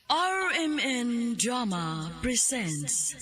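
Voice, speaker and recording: A woman announcer reads the show title in a slow, drawn-out, dramatic way. Music plays clearly behind her. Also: a little high, sing-song, fairly bright tone. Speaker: program announcer.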